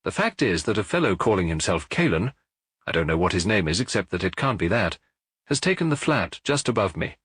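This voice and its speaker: Guy reading as an amused detective in an audiobook, casual and careless, bit husky, very expressive. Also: sits low, a little fast, dry recording, exaggerated voice. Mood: amused, breezy. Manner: careless, light, conversational. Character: tall, breezy, amused male private detective.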